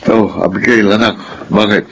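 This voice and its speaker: An actor playing a patient, understanding Filipino father of grown children in a radio drama, with a gentle, advising manner. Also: fairly low pitch, fairly even intonation, normal speed, gravelly, slight background noise, low-quality recording. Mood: mildly concerned.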